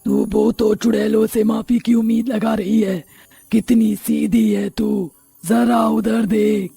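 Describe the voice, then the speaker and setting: A man voicing an old ghoul from a haunted family in a cartoon story, husky and creaky, with a sardonic manner. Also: high-pitched, level tone, takes it slowly, dark tone, no room sound, soft background music, heavily acted. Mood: mocking. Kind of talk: cartoon horror story acting.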